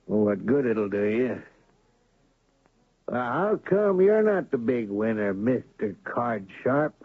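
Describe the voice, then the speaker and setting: An actor playing a crooked, domineering town boss in an old radio drama, talking in a blustering, threatening way. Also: male voice, medium pitch, very animated, takes it slowly, breathy edge, no echo, full character voice. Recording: tinny lo-fi old radio broadcast.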